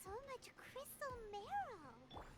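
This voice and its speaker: Squeaky, childlike little sprite companion. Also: female voice, huge pitch swings, slow, exaggerated voice. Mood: curious, puzzled. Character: tiny childlike female sprite companion, excitable and curious. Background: game music.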